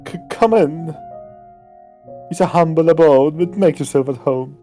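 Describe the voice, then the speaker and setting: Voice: mid-range voice, measured pace, dark tone, faint music behind, close to an everyday voice. A streamer voicing a nervous young male manservant who stammers and hesitates. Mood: flustered.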